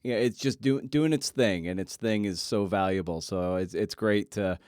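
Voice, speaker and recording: A man hosting a podcast interview in a casual way, halting and rephrasing as he works toward his point. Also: on the low side, darkish tone, clear, resonant tone, dry recording, studio quality. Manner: halting, rephrasing questions as he goes.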